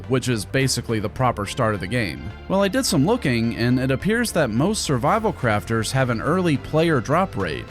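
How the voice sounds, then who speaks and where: Wry man narrating a game review. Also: medium pitch. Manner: wry, sarcastic, casual. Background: background music.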